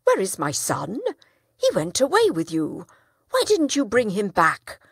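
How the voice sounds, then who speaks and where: A woman voicing a dishonest male town trader who speaks loudly and accusingly. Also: mid-range voice, huge pitch swings, a little slow, gravelly, dry recording, studio quality, full character voice. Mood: indignant.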